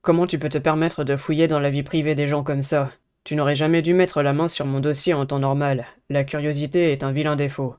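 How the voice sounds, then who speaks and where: A man voicing an aloof, sardonic teenage schoolboy in a dating game, with a cool, flat delivery. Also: a little high, fairly quick, clear, resonant tone, natural voice. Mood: reproachful.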